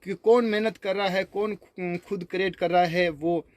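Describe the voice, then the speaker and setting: A man speaking informally to viewers, remonstrating in a halting, repetitive way. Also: high voice, steady tone, a little mellow, no echo. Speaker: singer. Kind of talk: informal spoken video response to viewers.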